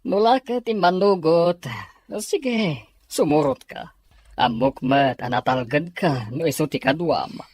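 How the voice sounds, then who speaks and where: An easygoing boss and father, acted by a man, has a husky voice in a very dry recording. He is indulgent and agreeable, played in radio-drama style. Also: a little high, unhurried, darkish tone, no room sound. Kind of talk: amateur radio-drama acting.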